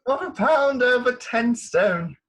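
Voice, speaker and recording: A man acting a flustered, gallant middle-aged colonel in a comic Victorian farce, stammering and hesitant, with a husky voice. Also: very high-pitched, animated, slow pace, a bit bright, exaggerated voice. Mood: flustered politeness. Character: flustered, gallant English army colonel, bumbling and reluctant. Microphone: remote home recording over video call.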